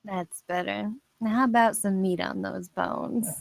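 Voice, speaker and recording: Woman reading pushy affectionate tipsy mom around fifty, slow talker, dry close sound. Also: medium pitch, fairly even intonation, vocal fry, dry recording. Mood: fussing, insistent. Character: tipsy, doting fifty-year-old mother, Gloria. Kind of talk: live screenplay table read.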